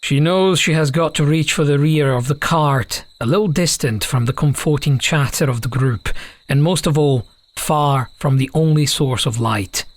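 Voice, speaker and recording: A man's voice: fairly high pitch, moderate pace. A man reading a scripted fantasy story as an audiobook narrator.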